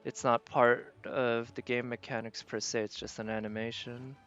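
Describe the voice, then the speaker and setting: A man's voice: medium pitch, flat delivery, moderate pace, a bit bright, dull audio, soft background music. A man live streaming a video game, chatting casually with viewers and musing aloud as he plays. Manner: thinks aloud, tentative and musing.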